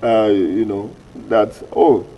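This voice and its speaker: A man's voice: on the low side, slow, creaky, muffled recording, slight background noise. A middle-aged Nigerian man hosting a morning TV interview in a studio, asking long, rephrased questions. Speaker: TV show host.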